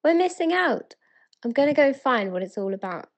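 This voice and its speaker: A British woman playing an eager, wonder-struck townswoman in a dramatic verse reading, earnest and excited. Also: high-pitched, moderate pace. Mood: excited, insistent.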